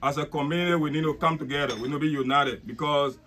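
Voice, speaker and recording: A man serving as a board secretary gives a formal thank-you speech at an outdoor community gathering. He talks in a measured way and often repeats words while he searches for the next one. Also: on the high side, fairly even intonation, a bit bright. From Liberia. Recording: noisy crowd all around. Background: crowd chatter.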